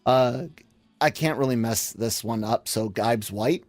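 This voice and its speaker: A man rambles through casual podcast chat in a jokey, slangy way. His voice sits at a middling pitch, with soft music in the background. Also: a little slow, no echo. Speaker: sports podcast panelist.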